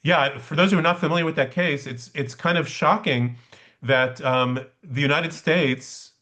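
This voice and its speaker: A man's voice: fairly high pitch, moderate pace, bright, forceful tone. Man teaching conversationally over a video call. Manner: explanatory, often checks with "right?". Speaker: lecturer leading a study session.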